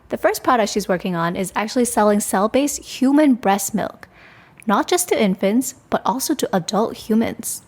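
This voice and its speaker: A woman hosting a podcast, reading an upbeat introduction and then asking her guest conversational questions. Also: mid-range voice. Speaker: podcast host. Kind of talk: podcast interview.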